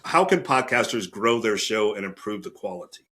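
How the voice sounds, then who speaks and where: A man's voice: lowish voice, animated, normal speed, fairly bright tone, slightly rough. A man hosting a podcast interview in a casual, chatty style. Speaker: podcast host.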